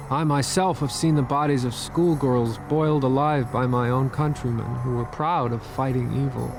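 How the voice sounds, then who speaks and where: An actor playing an earnest, troubled forty-year-old war veteran in a radio drama, speaking solemnly with music behind him. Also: male voice, fairly high pitch, close to an everyday voice.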